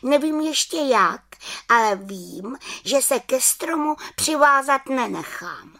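A woman's voice: high voice, expressive, sharp tone, pitched above the performer's own voice, exaggerated voice. A woman voicing a plucky, resolute little good witch in a storybook reading. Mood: determined. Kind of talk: storybook character voice.

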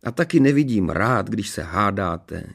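This is a man acting a fairy-tale king with a fairly low voice. He is a calm, measured father who gently but firmly reproves. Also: vocal fry. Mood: reproving.

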